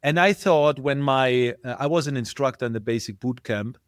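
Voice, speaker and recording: A man's voice: medium pitch, moderate pace, clear, resonant tone, dry recording, studio quality. A middle-aged man with a German accent, a guest in an interview, thinking aloud and searching for his words. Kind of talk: casual interview talk.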